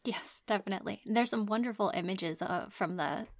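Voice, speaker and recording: Woman podcast host, mid-pitched voice. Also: fairly quick. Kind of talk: conversational podcast hosting and closing credits.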